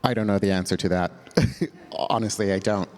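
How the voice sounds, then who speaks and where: A man on a panel speaking about a personal experience in a thoughtful, unhurried way. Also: on the low side, fairly even intonation, big-room sound. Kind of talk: panel discussion.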